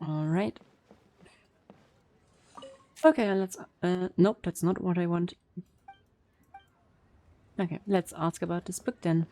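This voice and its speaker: Low, mellow-voiced woman streamer. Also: fairly even intonation, slow pace, long pauses. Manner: informal, joking, thinking aloud. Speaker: game streamer. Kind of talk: casual reaction commentary during gameplay.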